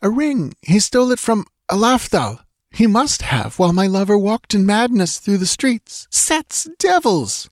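A man's voice: sits high, sing-song, measured pace, dry recording, clean studio sound, pitched above the performer's own voice, full character voice. A man voicing a proud, fierce young woman who speaks in a breathless, urgent, commanding way. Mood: frantic.

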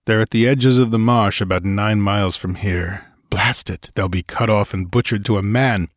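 A man reading a story and voicing a gruff, terse barbarian warrior who gives clipped, urgent orders. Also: fairly low pitch, normal speed, dry recording, studio quality, close to an everyday voice. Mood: grim urgency.

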